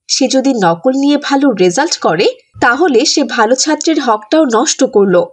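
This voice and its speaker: A woman voicing a strict female schoolteacher in a children's cartoon, instructing like someone used to being obeyed. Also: a little high. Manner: scolding, instructive, ends orders with check questions.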